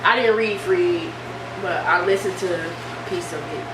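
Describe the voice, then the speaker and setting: A young woman chatting casually in an animated way, often acting out bits of conversation as she retells them. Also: on the low side, measured pace. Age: young adult. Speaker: vlogger. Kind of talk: casual chat. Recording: distant mic, echoey room, steady hiss.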